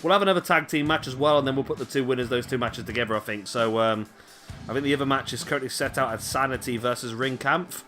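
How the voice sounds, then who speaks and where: A man giving casual play-by-play commentary on a wrestling video game, chatty with rambling asides to viewers, his voice going a little hoarse. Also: medium pitch, quick pace, bright, forceful tone, soft background music. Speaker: gaming commentator. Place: home room, late at night.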